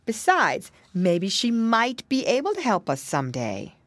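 A woman's voice: a little high, wildly swooping pitch, a little slow, fairly bright tone, dry recording, voice pushed up, heavily acted. A woman voicing a sensible, helpful little boy piglet, speaking earnestly in a children's storybook. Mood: scolding.

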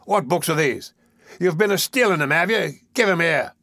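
A man performs a brutal storybook ruffian. He is a grown man who bullies and menaces. His delivery is gruffly commanding. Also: a little high, animated, moderate pace, bright, forceful tone, no echo, clean studio sound, voice pushed up, exaggerated voice. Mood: mocking menace. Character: brutal, bullying adult male ruffian with dog.

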